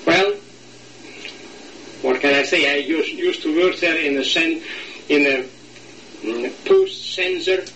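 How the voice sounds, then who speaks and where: Older man, Latvian accent, non-native English, halting, bright voice, echoey room. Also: fairly high pitch, distant mic, slight background noise. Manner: halting, searching for words, slight non-native grammar. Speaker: interviewee. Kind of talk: oral history interview.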